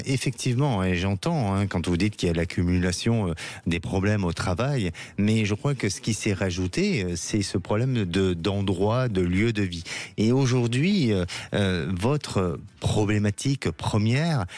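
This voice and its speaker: Male radio psychic host, low-ish voice, soft and halting, reassures callers. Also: dry recording. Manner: hesitant, many "euh" fillers, gentle reassuring. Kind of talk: live call-in consultation.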